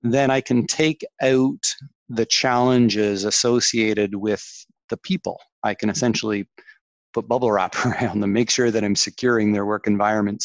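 A Canadian man with a fairly low voice speaks as a podcast guest. He sounds curious and reflective, often restarting his sentences. The recording is dry and close, with no room sound. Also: no echo, dull audio. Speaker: tech executive guest. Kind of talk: podcast interview.

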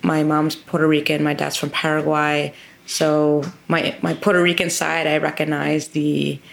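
A woman's voice: low voice, creaky. A woman legislator answering interview questions in an earnest, emphatic way, stacking up lists of repeated phrases. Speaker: state legislator as guest.

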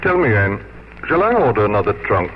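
A low, measured voice of a melancholy aristocratic father, formal and full of regret. It is radio drama of the past, muffled, with faint noise. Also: very animated. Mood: regretful, sad. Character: regretful aristocratic English father, master of a manor. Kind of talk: old-time radio drama acting.

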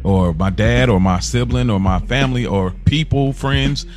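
A man's voice: mid-range voice, normal speed, a little mellow, faint music behind. A man in casual, reflective podcast conversation, speaking in a supportive, affirming way as a co-host.

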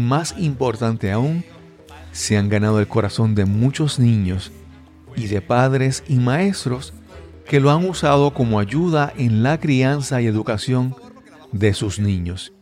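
A man's voice: mid-range voice, darkish tone. A man hosting a podcast, reading a scripted introduction. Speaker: podcast host.

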